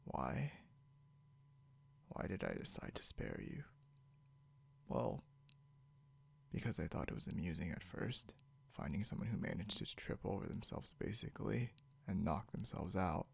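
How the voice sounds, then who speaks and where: A man playing a grumpy, teasing, possessive young beast-eared hunter in an intimate ASMR roleplay, drawling drowsily and half whispering with a breathy, gravelly voice. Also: low-pitched, sing-song, unhurried, long pauses, full character voice. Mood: drowsy, aloof.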